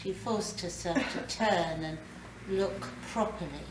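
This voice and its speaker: A British woman reading a short story aloud in a dramatic, deliberate way. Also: fairly low pitch. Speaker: story reader. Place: live reading in a library. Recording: roomy, distant mic, faint background noise.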